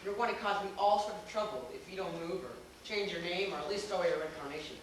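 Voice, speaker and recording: A man playing a worldly, drily amused know-it-all gentleman in an amateur stage comedy, speaking in a glib, theatrically formal way. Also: sits high, quick pace, close to an everyday voice. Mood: insistent, polite. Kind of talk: amateur stage acting in a comic one-act play. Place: small theater stage, recorded from the audience. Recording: echoey theater, distant mic, noticeable noise.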